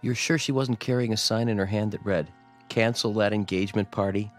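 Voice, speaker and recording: An actor playing a sarcastic, possessive young banker fiancé in a radio drama, with a wry delivery. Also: male voice, lowish voice, steady tone, brisk, soft background music, natural voice. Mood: teasing. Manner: wry, sardonic.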